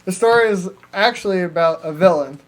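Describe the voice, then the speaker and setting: A man's voice: high-pitched, brisk. A man chatting casually as a podcast co-host, in a crude, slangy way with plenty of swearing. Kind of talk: casual profane chat pitching story ideas.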